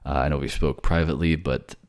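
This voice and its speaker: Very deep, creaky-voiced man. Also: steady tone, fast talker, darkish tone, dry recording, studio quality. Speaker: voice actor and narrator. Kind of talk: soft-spoken story narration and casual outro.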